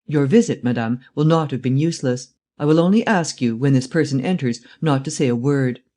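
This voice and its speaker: A man voicing a formal, authoritative police chief in an audiobook, polite, measured and insistent. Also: medium pitch, mellow, lightly acted. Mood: composed, insistent. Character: composed, formal, authoritative middle-aged French police chief. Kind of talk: audiobook character voice.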